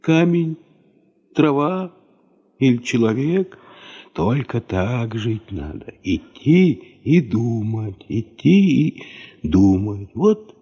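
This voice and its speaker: An actor playing a wise, kindly old tundra herder grandfather in a radio drama, speaking in halting, folksy, philosophical phrases. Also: medium pitch, very animated, warm tone, breathy edge, exaggerated voice. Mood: earnest, admonishing. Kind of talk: Soviet radio drama acting. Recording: muffled 1970s radio play recording.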